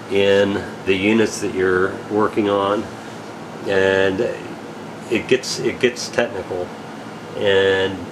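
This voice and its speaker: A man giving a casual, unscripted product update as an equipment dealer, in a rambling, halting, matter-of-fact way. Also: on the low side, noisy background.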